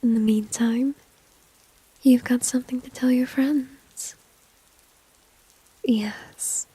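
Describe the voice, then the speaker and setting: A woman's voice: on the high side, steady tone, takes it slowly, mellow, breathy edge, no room sound, heavily acted, rough recording. A woman playing a flirty, dominant, confident villain in an ASMR roleplay, whispering breathily and teasingly close to the microphone. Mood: coaxing, confident. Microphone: close microphone, dry.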